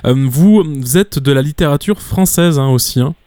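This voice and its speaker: A man's voice: fairly high pitch, moderate pace, mellow, smooth, no echo, studio quality. A male radio interviewer asking questions, often adding little tag words. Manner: leading questions, frequent "hein" tags.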